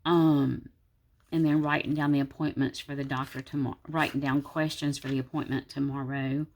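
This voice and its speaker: A woman, sick and congested with a sore throat, chatting tiredly to viewers from her desk at home. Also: vocal fry. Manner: tired, low-energy, rambling. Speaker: planner vlogger. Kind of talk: casual vlog talk to viewers.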